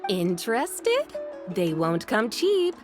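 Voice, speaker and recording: A woman's voice: mid-range voice, wildly swooping pitch, takes it slowly. A woman playing a good-natured, teasing shopkeeper in a video game, wry and familiar in manner. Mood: obliging. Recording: game music behind the voice. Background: game music.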